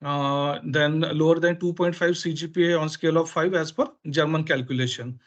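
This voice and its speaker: A man with an Indian accent, a native Marathi speaker, explaining things informally as a webinar presenter in halting, filler-heavy phrases. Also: fairly high pitch, fairly even intonation. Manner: halting, hesitant phrasing with frequent filler pauses. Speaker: education consultant presenting a webinar.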